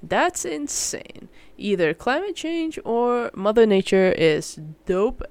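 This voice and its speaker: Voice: medium pitch, big pitch swings, takes it slowly, a little mellow, clear, resonant tone, no room sound. A young adult from Malaysia chatting casually and solo as a podcast host, in a rambling, informal way that often breaks off and restarts with mild slang.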